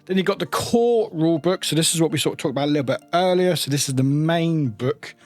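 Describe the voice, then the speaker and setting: A man's voice: on the high side, fast talker, darkish tone, faint music behind. A man presenting an unboxing in a casual show-and-tell style, with informal, loosely phrased and appreciative commentary. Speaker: product reviewer, unboxing host. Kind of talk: casual show-and-tell commentary, sometimes reading blurbs aloud.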